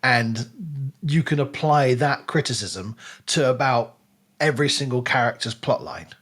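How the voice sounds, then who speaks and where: A man's voice: mid-range voice, a little slow, a bit bright, breathy edge. Man chatting analytically about books. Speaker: podcast host. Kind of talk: casual book discussion.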